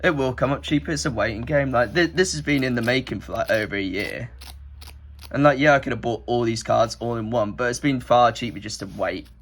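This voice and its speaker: A man's voice: medium pitch, fairly quick, fairly bright tone. Rambling guy with a cold. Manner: rambling, many "like" fillers. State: nasal from a head cold. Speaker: card collector vlogger. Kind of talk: casual rambling show-and-tell.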